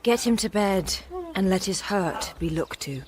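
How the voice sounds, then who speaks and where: A woman's voice: mid-range voice, lively intonation, measured pace, crowd noise, natural voice. An actress playing an imperious, passionate young noblewoman in a radio Shakespeare drama, speaking with authority. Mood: commanding. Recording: faint background ambience.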